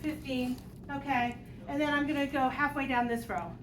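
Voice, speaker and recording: A joking woman in her fifties leads a math lesson, talking students through tallies with a light teasing manner. Her voice sits high. She is heard from a distance, in a reverberant room with steady background noise. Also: normal speed. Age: 50s. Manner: playful, jokey, talks through examples aloud. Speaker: math teacher. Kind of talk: classroom lecture. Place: classroom. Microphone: distant room mic. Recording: echoey classroom, distant mic, noisy.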